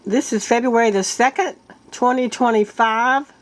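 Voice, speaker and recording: A woman vlogger talking casually to her viewers, her nose congested from a sinus illness. She speaks earnestly and haltingly, clearing her throat and coughing often. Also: fairly high pitch, fairly even intonation, low-quality recording. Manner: earnest, halting, with many restarts.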